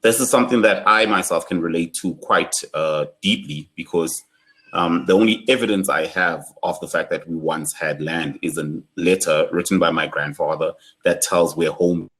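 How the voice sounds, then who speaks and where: A young South African man with a South African accent, leading an online workshop in a measured, solemn way. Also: deep voice, fairly bright tone. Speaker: workshop facilitator.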